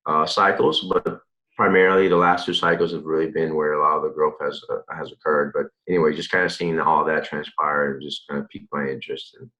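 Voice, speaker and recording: Very deep-voiced man, easygoing and rambling. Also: brisk, muffled recording. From Dominican Republic. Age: 30s. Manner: relaxed, rambling, many fillers and restarts. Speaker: interview guest, real estate developer. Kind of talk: conversational interview answers.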